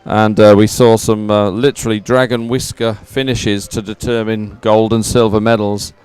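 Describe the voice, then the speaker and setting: A man giving live sports commentary as an event announcer, in a measured, hesitant way with frequent pauses. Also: lowish voice, level tone, a little mellow, smooth, soft background music.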